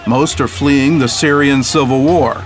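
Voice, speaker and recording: A man narrating a news report in a steady, formal voice-over. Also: medium pitch, measured pace. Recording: voice-over with music.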